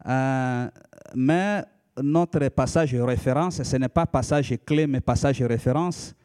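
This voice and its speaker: A man in his thirties from the Democratic Republic of the Congo, a native Lika speaker, preaching in a church in a measured teaching manner with frequent hesitations. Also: mid-range voice, a little mellow, clear, resonant tone. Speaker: pastor. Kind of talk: sermon.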